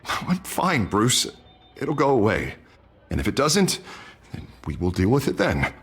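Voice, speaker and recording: A man's voice: medium pitch, wildly swooping pitch, unhurried, fairly bright tone, slightly rough, heavily acted. An actor playing a troubled young male mayor in a video game, swinging between friendly banter and tense, agitated talk. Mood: pleading. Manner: shifts from friendly to agitated muttering. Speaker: game character.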